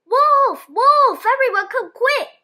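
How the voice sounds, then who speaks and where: A mischievous young shepherd boy from a children's storybook, acted out by a woman reader. The voice jumps very high and swings widely, bright and excitable, exclaiming as it goes. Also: slow, heavily acted. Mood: mock alarm. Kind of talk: children's storybook read-aloud.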